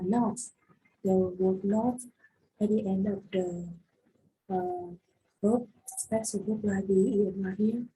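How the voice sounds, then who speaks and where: A woman's voice: a little high, mellow, breathy edge, poor audio. A young woman in her twenties with a Vietnamese accent, teaching a recorded grammar lesson with many hesitations and fillers. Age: 20s. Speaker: English teacher.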